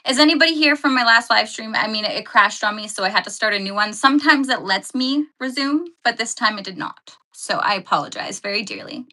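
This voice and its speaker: Bright-voiced woman streaming live. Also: a little high, lively intonation, a little fast, few pauses, low-quality recording. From Canada. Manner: chatty, laughs often, rambles and corrects herself. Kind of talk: casual live-stream chat answering viewer comments.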